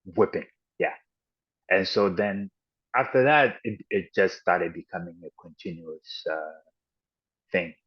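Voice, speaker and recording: A man raised in Ghana and the United States, a podcast guest recounting his childhood in a halting, reflective way with frequent restarts. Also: low-pitched, animated, husky. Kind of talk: personal storytelling in an interview. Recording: dull lo-fi audio.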